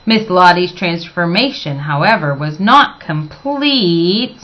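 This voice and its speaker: A woman reading a children's storybook aloud as expressive narration, with dramatic, emphatic phrasing. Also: lowish voice, slow pace, highs cut off.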